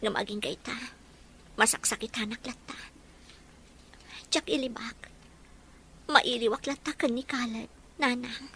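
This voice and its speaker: A woman's voice: a little high, measured pace, vocal fry, pauses often, sharp tone, husky, exaggerated voice. A woman playing a tender, wistful young wife and mother in an audio drama, with an Ilocano accent. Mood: grieving. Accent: Ilocano Filipino. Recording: faint background noise.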